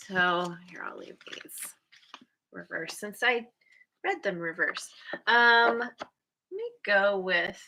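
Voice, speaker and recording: Woman, tarot reader, unhurried, crisp bright tone, hesitant, lots of ums and restarts. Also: mid-range voice, expressive, a little hiss or hum, low-quality recording. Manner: hesitant, many fillers and restarts; chatty, laughs at herself. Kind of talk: casual tarot reading.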